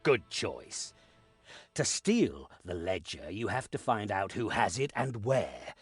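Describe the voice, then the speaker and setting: An actor playing a stern, authoritative lord of a castle in a video game, husky and deliberate. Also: male voice, fairly low pitch, very animated, faint music behind, heavily acted. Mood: commanding, urgent. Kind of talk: video game cutscene acting.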